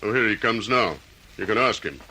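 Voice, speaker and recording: A man's voice: lowish voice, expressive, brisk, poor audio. A man playing a practical, plain-spoken fire officer in an old radio drama. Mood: matter-of-fact. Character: practical, commanding male district fire officer. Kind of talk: 1940s radio drama acting. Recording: vintage radio, loud sound-effect noise behind.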